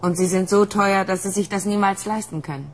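A woman's voice: mid-range voice, steady tone, a little fast, slight background noise, natural voice. An actress playing a young female android, a pampered niece, in a radio drama. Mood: haughty.

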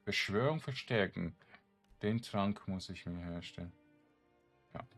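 A man giving casual game commentary, thinking aloud in a halting mutter as he plays. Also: low voice, fairly bright tone, husky, faint music behind. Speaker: gaming streamer.

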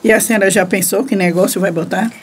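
A woman's voice: medium pitch, fairly even intonation, fast talker, a little hiss or hum, natural voice. An actress with a Brazilian accent playing a cheerful, helpful woman friend in a radio soap. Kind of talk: radio soap opera acting. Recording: clattering dishes behind the voice.

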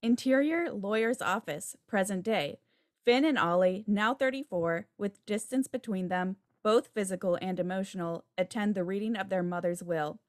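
A woman's voice: medium pitch, normal speed, no echo, clean studio sound. A woman reading stage directions as scripted narration for a table read. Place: home room, video call.

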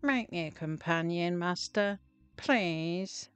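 Woman as an earnest, slow-talking patchwork cat. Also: lowish voice, clean tone, no room sound, soft background music. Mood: pleading. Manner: pleading, earnest. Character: eager, lonely, hapless male patchwork cat.

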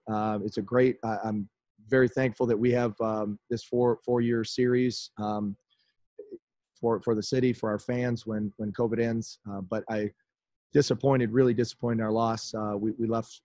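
Chicago-area American head coach, man in his forties, low monotone, halting, dry webcam sound. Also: no room sound. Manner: halting, many restarts and fillers. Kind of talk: postgame press conference. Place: indoors in front of a team backdrop. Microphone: video call webcam.

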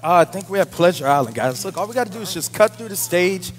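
High-pitched excitable young man on stage. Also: fast talker. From Louisiana. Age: young adult. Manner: excitable, theatrical. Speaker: comedy troupe performer. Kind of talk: live stage comedy sketch. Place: large theatre stage. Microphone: stage microphone. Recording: reverberant theatre hall, music behind.

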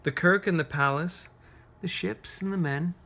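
A man reading a picture book aloud to children, pausing to ask them gentle, encouraging questions. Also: high-pitched, gravelly. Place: carpeted room at home, sitting on floor.

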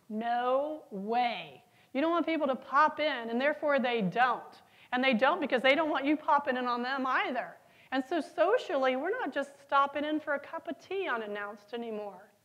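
A woman's voice: high-pitched, muffled recording. A middle-aged woman giving a conference keynote on stage, telling stories and asking the audience questions. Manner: lively storytelling, asks the audience questions. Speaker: keynote speaker. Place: large conference hall, on stage.

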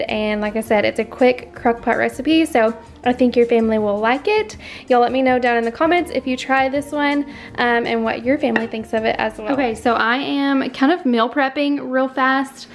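Chatty woman with a higher voice. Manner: chatty, informal. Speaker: home cooking vlogger. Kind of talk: casual cooking narration. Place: home kitchen, indoors. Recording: clattering kitchen noise.

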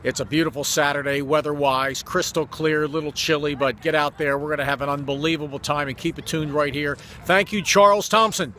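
A man's voice: fairly high pitch, fairly even intonation, fairly quick, hardly any pauses, pushed and bright. A man in his fifties speaking as an enthusiastic announcer and interviewer, ad-libbing an on-location promo. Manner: enthusiastic, effusive. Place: outdoors by a sports complex. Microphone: phone at arm's length. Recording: outdoor phone video with background noise.

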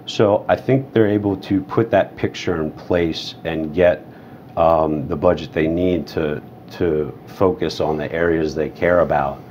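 Very deep-voiced man on a panel. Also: unhurried, a little mellow. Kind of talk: panel discussion. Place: hotel room studio set. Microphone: lapel. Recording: dull sound, faint room hiss.

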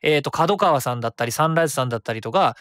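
A man's voice: mid-range voice, dry recording, studio quality. A man in his thirties hosting a solo web radio show, rambling casually and correcting himself as he goes. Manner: rambling, self-correcting, quick and animated.

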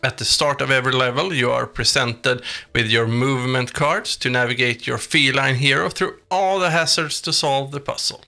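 A man's voice: mid-range voice, moderate pace, creaky, bright, forceful tone. A man reading a scripted video game review as a voice-over. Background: background music.

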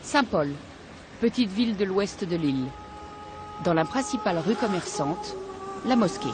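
A woman narrates a documentary in a scripted style. Her voice-over sits over loud music. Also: mid-range voice, expressive, a little slow.

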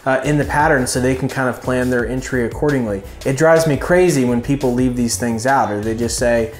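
A man's voice: medium pitch, brisk, echoey room. A man in his thirties giving an informal, emphatic instructional talk to camera as a host, recorded on a lapel mic in a living room. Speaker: flight instructor and video host. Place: small furnished living room indoors.

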